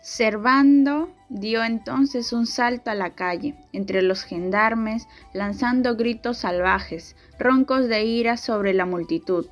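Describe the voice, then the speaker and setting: Slow female drama narrator. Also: fairly high pitch. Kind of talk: scripted dramatic narration of a radio novel. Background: faint music.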